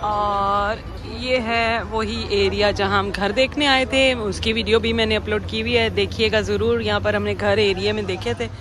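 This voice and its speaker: A woman's voice: medium pitch, steady tone, moderate pace, few pauses, noisy background. A woman chatting and explaining things to viewers in a casual vlog, recorded on a phone outdoors. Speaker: vlogger, host. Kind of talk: casual vlog narration and chat. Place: outdoor cafe terrace and street at night. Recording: loud crowd and street chatter behind.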